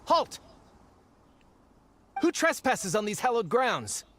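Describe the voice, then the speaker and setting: A woman's voice: fairly high pitch, sing-song, slow pace, a bit bright, slight background noise, exaggerated voice. A woman voicing a stern, formal guard soldier challenging people in an anime-style video game. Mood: stern challenge.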